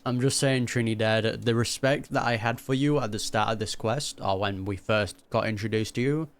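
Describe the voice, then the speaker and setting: A man's voice: on the low side, moderate pace, smooth. A man giving casual, slangy reaction commentary as he plays through a video game. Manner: slangy, informal. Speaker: gaming YouTuber. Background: faint game music.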